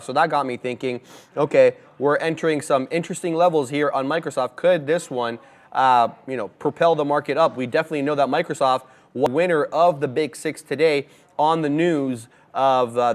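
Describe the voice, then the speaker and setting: A man co-hosting a live trading show, giving chatty, upbeat market commentary and laughing easily with his co-host. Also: mid-range voice. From Egypt.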